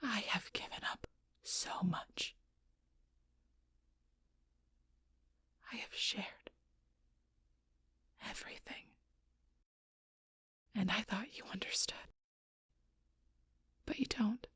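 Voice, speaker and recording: Husky, gravelly female werewolf, acted by a woman. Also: on the low side, normal speed, long pauses, dry recording, exaggerated voice. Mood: wounded, subdued. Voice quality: breathy, husky, rough-edged. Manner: intense, confrontational, swearing, often dropping to near-whisper. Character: fierce, protective female alpha werewolf, bitter and wounded. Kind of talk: audio roleplay acting addressed to the listener.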